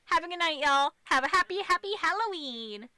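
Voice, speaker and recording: An American woman streamer with a high, bright voice. She chats casually and playfully, swinging freely in pitch with a theatrical streak. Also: expressive, a little fast, no echo, studio quality. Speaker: streamer, host. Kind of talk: casual, goofy livestream chat.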